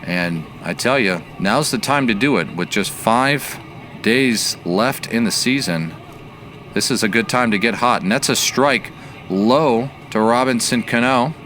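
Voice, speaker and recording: A man giving baseball play-by-play commentary as the announcer, measured and analytical in his delivery. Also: medium pitch, a bit bright, a little hiss or hum.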